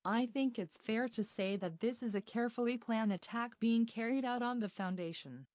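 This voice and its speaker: A woman's voice: fairly high pitch, normal speed, darkish tone, no echo, close to an everyday voice. A woman playing a composed, wry council member of a secret organisation, in dramatic meeting dialogue. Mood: measured concern.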